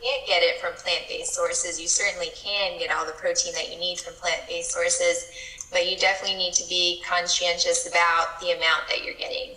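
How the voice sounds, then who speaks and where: A woman's voice: lowish voice, level tone, moderate pace, pushed and bright, echoey room, poor audio. A woman from Miami explaining a recipe in casual chat, hesitant and full of fillers and restarts. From Miami, Florida.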